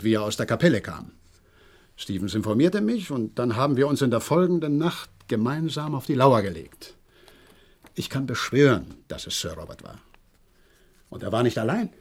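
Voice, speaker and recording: Worked up horse trainer in a radio play, very respectful, dramatic storytelling, voice all over the place. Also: male voice, medium pitch, very animated, moderate pace. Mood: secretive, earnest. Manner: deferential, eager, dramatic storytelling. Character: agitated horse trainer reporting a mystery, deferential male servant. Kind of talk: German radio play acting.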